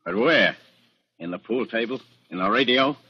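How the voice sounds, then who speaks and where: An actor playing a plain-spoken, folksy small-town police chief in a 1940s radio drama. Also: male voice, medium pitch, lively intonation, moderate pace, breathy edge. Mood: firm. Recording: dull, noisy old radio recording.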